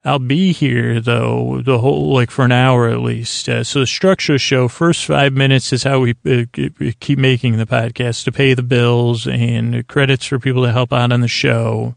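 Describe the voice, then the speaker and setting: Man, creaky voice, dry close sound, meandering sleep-podcast monologue, halting, looping phrasing. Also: medium pitch, steady tone, no echo. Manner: meandering, halting, circles back on himself. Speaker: podcast host. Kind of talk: rambling bedtime-story podcast intro monologue.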